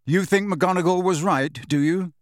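Narrator doing an aggrieved teen schoolboy, sullen mutters and angry bursts, dry close voice. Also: male voice, medium pitch, big pitch swings, measured pace, a bit bright, dry recording. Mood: resentful. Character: angry resentful teenage schoolboy.

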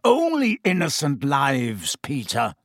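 Male reader as vengeful wizard: rough gravelly snarl, bitter accusations, swooping intonation, fantasy audiobook. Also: medium pitch, big pitch swings, no echo, clean studio sound, full character voice. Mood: furious. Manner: accusing, forceful, deliberate.

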